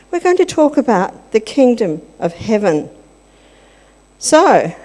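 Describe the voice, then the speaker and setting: Give me an older woman with a slightly high voice, giving a chatty children's talk into a handheld mic in a big church hall with long reverb. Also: dark tone. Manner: chatty, teasing, asks the children questions. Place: large church hall with congregation. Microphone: handheld microphone.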